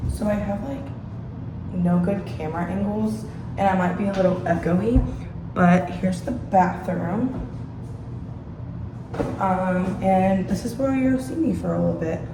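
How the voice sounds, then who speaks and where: A woman's voice: mid-range voice, a little slow, pauses often. Young woman vlogger, casual and rambling, echoey bathroom with faint hiss. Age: young adult. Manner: rambling, chatty. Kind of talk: casual chat to camera. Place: small bathroom. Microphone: phone propped on a sink.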